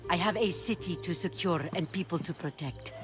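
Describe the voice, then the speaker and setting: A woman's voice: lowish voice, moderate pace, music in the background, natural voice. A woman playing a commanding, shrewd pirate leader in a video game, dry and authoritative. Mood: shrewd, businesslike. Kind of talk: dramatic video game cutscene acting.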